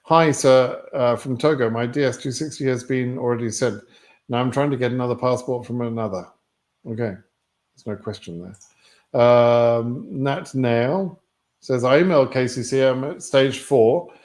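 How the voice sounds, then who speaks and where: A man's voice: medium pitch, moderate pace, darkish tone. Blunt British man answering live questions. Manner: blunt, matter-of-fact. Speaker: livestream host answering immigration lottery questions. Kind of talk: live Q&A, reading out viewer questions and answering off the cuff.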